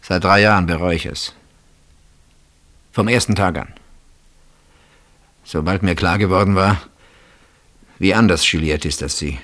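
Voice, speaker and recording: Radio play: regretful, hesitant husband, deep register, intimate and halting. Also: male voice, fairly bright tone. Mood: regretful, uneasy. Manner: hesitant, halting, reflective, repeats words. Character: wistful married gentleman named Pierre, hesitant and regretful.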